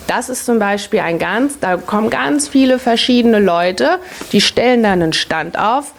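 A woman's voice: fairly high pitch, expressive, normal speed, gravelly. A woman in her thirties, a city family-office worker, patiently answering children's questions in simple words, often repeating the question back first. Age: 30s.

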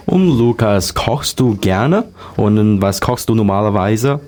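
Lower-voiced male radio host. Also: lively intonation, slow pace, a little mellow. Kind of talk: show presenting and interview questions.

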